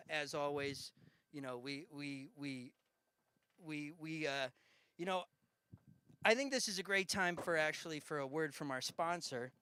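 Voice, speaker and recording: Bright-voiced joking talk show host. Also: male voice, on the high side, clean tone. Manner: rambling, deadpan jokes with many fillers. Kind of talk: comedic live talk show hosting. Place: home garage studio.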